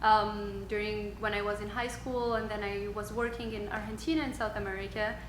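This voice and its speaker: A woman's voice: medium pitch, flat delivery, a little fast. Woman, roomy echo. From Switzerland. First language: French. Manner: hesitant, many ums, frequent laughs. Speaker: guest, yoga instructor. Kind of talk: casual interview. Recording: roomy echo with faint background noise.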